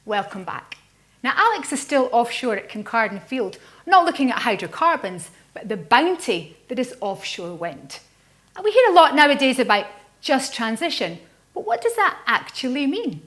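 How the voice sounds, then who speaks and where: Female presenter, lively higher voice, scripted link delivery. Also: normal speed.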